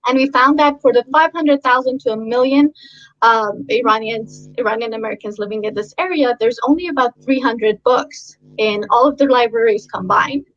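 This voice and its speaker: A woman's voice: fairly high pitch, normal speed, a bit bright. A woman researcher, born and raised in Iran with Persian as her first language, talking earnestly in a casual, conversational way with many ums and restarts. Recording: dull lo-fi audio.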